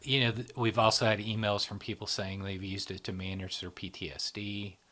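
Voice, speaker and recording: Low-voiced man explaining at ease. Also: normal speed. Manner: relaxed, explaining with many fillers and restarts. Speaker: channel founder explaining ASMR. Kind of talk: casual explanatory conversation.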